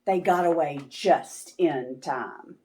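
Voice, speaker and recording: A woman reading a children's storybook aloud in a dramatic, deliberate way. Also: deep voice, darkish tone. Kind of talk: expressive read-aloud narration for children.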